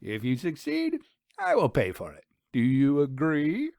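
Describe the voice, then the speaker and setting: A man's voice: fairly high pitch, huge pitch swings, unhurried, gravelly, darkish tone, no echo, clean studio sound, full character voice. A man reading a story, voicing a jovial young man who teases his friend with mock gravity. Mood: mock solemn. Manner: mock-grave, bantering.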